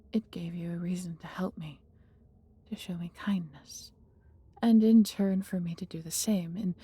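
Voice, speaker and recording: Husky, breathy woman as a protective dragoness. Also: fairly low pitch, warm tone. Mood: tender, intimate. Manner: theatrical, intimate, formal storybook phrasing. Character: affectionate, fiercely protective female dragon who becomes human. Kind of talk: scripted fantasy audio roleplay acting.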